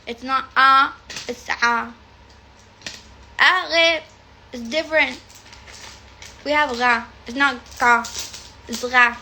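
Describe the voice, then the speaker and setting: High, gravelly Arabic-native woman rambling. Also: steady tone, slow, pauses often, pushed and bright, a little hiss or hum. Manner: chatty, rambling, swears freely. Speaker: tarot reader. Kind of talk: casual livestream chat. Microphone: phone livestream.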